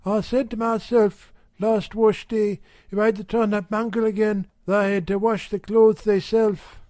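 Plump, sly wife, high and purring, voiced by a man reading a story. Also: flat delivery, measured pace, dark tone, no room sound. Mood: coaxing. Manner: insidious, cat-like wheedling.

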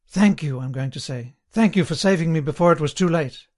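Man playing a high-pitched, servile, eager party loyalist. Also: brisk, darkish tone, close to an everyday voice. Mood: sanctimonious, confiding. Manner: earnest, eager, sententious, chatty. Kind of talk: audiobook character dialogue.

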